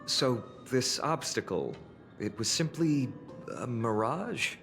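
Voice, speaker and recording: A man playing a calm, inquisitive traveler in a game, deliberate and questioning in manner. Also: medium pitch, soft background music, heavily acted. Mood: curious, thoughtful. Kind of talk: dramatic game voice acting.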